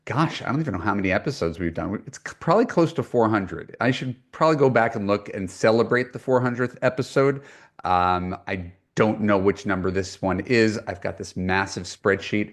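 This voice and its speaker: A deep-voiced man hosts a dating-coach podcast. He talks in a loose, chatty way, full of ums and false starts, and pokes fun at himself. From United States. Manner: chatty, self-deprecating, many fillers and restarts. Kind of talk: conversational podcast intro and coaching.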